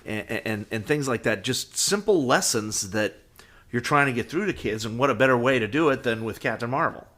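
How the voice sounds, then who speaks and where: A man co-hosting a podcast in casual fan discussion, halting and often repeating words as he thinks. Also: mid-range voice, lively intonation, fairly bright tone. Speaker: podcast co-host.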